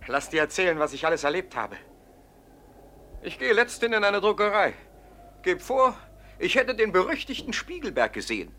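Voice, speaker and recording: Swaggering wicked bandit, highish bright voice, old style radio play. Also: male voice, sing-song, moderate pace, pauses often, exaggerated voice. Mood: gleeful boasting. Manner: gloating, swaggering. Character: boastful, gleefully wicked male bandit storyteller. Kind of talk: classic theatrical radio drama.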